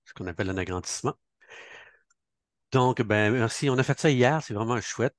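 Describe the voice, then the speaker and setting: A man's voice: medium pitch, normal speed, no room sound, clean studio sound. A man hosting a program launch on a video call, chatty, with many fillers and an occasional Spanish greeting. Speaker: event host.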